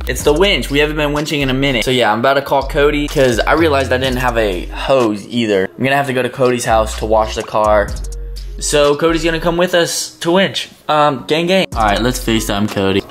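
A man's voice: mid-range voice, a little fast, fairly bright tone, soft background music. A man in his twenties with an American accent, chatting casually to viewers in slangy surfer-bro talk as he vlogs. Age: 20s. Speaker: vlogger. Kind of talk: casual vlog chat to viewers. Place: rooms at home, later outdoors by a garage. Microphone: handheld camera at arm's length.

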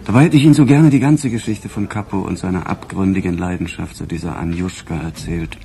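An actor playing a sardonic, relentless police inspector in a radio crime play, with an ironic storytelling manner. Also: male voice, low-pitched, fairly quick, gravelly, warm tone, slight background noise. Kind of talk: German radio drama dialogue.

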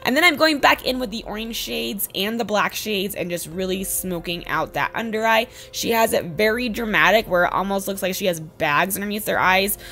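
A woman in her twenties with a slightly hoarse voice, giving a casual, chatty makeup tutorial voice-over. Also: mid-range voice, normal speed, few pauses, pushed and bright. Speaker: beauty vlogger, host. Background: background music.

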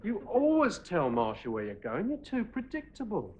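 A cheeky joker. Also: male voice, high voice, moderate pace. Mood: teasing. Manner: teasing, deadpan quips. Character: cheeky laddish young husband, dry joker. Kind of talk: 1980s sitcom acting. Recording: dull lo-fi TV audio with hiss.

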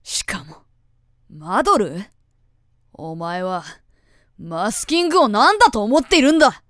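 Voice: mid-range voice, lively intonation, measured pace, fairly bright tone, dry recording. A performer acting a haughty, hot-headed, unfriendly teenage boy with a curt, scornful manner, in an amateur voice drama. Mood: scolding, angry. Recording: dry, faint music underneath.

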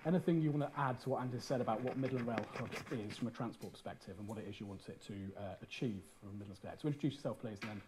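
A man moderating a panel event in a hall, brisk and polite. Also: lowish voice, background noise.

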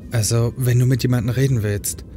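Actor as an earnest, coaxing brother. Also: male voice, medium pitch, fairly even intonation, a little fast, noisy background, natural voice. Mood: concerned, coaxing. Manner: earnest, persuasive. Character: concerned, coaxing brother, pushy but caring. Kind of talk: fan audio drama acting in German.

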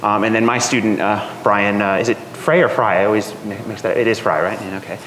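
A man in his thirties giving a relaxed academic seminar talk in a lecture room, with lots of ums and uhs. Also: lowish voice, brisk. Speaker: guest seminar speaker. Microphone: room microphone. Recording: echoey hall, faint noise.

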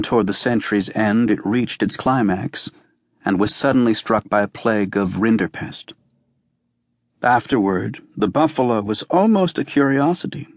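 A man reading a novel as an audiobook narrator, with measured, deliberate phrasing. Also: fairly low pitch, dry recording, studio quality. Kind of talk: scripted literary narration.

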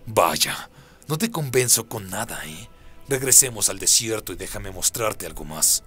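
A man's voice: fairly low pitch, lively intonation, a little slow, a bit bright, soft background music. A man voicing a proud, sly fallen angel in a radio play, taunting and persuasive. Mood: tempting.

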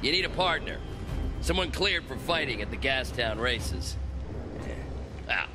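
An actor playing a flamboyant, manic race boss in a video game, theatrical and wheedling, swinging from sly muttering to shrieks. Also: male voice, mid-range voice, lively intonation, a little slow, close to an everyday voice. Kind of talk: video game character acting. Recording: music playing behind the voice.

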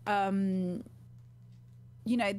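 A woman's voice: medium pitch, steady tone, very slow, a little mellow. A woman in her forties talking casually as an interview guest, telling anecdotes in a conversational way. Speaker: guest, gaming streamer. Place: small home room, indoors, on a video call. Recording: clear background noise with hum.

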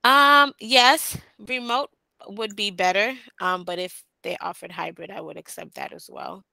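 A young woman answering job interview questions over a video call on a headset, pausing often with "um". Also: medium pitch, expressive, creaky, pushed and bright, no echo, rough recording. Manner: hesitant, many "um" fillers. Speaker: job candidate. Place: small indoor room.

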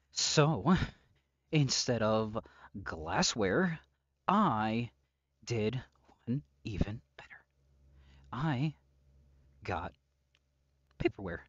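A man playing an obsessive, unstable captor and lover in an intimate audio roleplay, halting and brooding, swinging between pleading and threat. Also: mid-range voice, sing-song, dry recording, dull audio, full character voice. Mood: controlled menace. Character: obsessive, unstable male yandere captor, menacingly tender lover.

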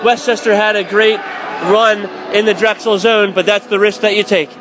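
A young man giving radio play-by-play of a hockey game from an arena press box, rattling off plays and rising to shouts on goals. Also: high-pitched, a crowd in the background, background noise. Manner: rapid excited calls rising to shouts on goals. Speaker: play-by-play announcer.

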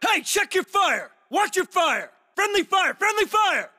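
An actor playing an urgent, battle-hardened special forces soldier in a military shooter game, barking clipped, commanding combat callouts. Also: male voice, very high voice, big pitch swings, a little slow, pushed and bright, in a large hall, full character voice. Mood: alert, commanding.

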